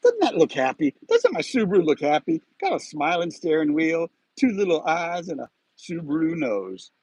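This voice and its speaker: A man hosting a live stream from inside his parked car, giving a preachy, emphatic motivational talk with drawn-out pauses. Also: fairly high pitch, wildly swooping pitch, a little mellow, breathy edge, no echo. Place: parked car with window open on a drizzly day.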